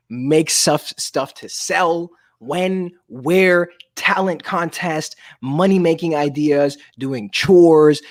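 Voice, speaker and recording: A man's voice: a little high, no room sound, clean studio sound. A man reading a children's book aloud as a storyteller, with jokey, informal asides. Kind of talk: animated read-aloud with casual chat.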